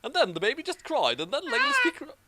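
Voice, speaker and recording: A man's voice: very high-pitched, wildly swooping pitch, racing, bright, forceful tone, no room sound. A man hosting and narrating a comic fan fiction reading in an amused, theatrical way. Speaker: host and narrator.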